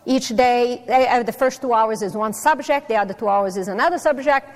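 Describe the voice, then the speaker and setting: A woman's voice: on the high side, a little fast. A middle-aged woman from Brazil with a Brazilian Portuguese accent, a native Portuguese speaker, giving a chatty, self-deprecating conference talk with many fillers. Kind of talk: personal talk to a live audience. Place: conference hall. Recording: long reverb of a hall.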